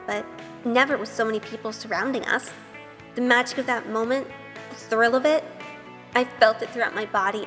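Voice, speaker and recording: A woman plays a sweet young witch in an audio drama, telling her own story. Her voice sits fairly high and sounds earnest and unsure, with light music underneath. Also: natural voice. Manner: earnest, hesitant. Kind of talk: audio drama acting with first-person narration.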